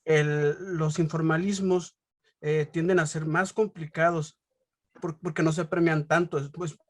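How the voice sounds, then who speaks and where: A man with a Mexican accent hosting a casual interview, explaining his points haltingly and repeating words as he goes. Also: fairly high pitch, flat delivery.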